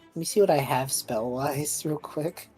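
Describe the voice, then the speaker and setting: A woman's voice: low-pitched, normal speed, warm tone, slightly rough, soft background music. A woman playing a tabletop roleplaying game, narrating her character's actions in a casual, rambling, informal way. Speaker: player in a tabletop roleplaying podcast.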